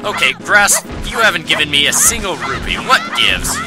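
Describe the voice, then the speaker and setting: A man's voice: sits high, big pitch swings, moderate pace. A man giving playful, sarcastic commentary while playing a video game. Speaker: let's play host. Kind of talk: jokey gameplay commentary. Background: game music.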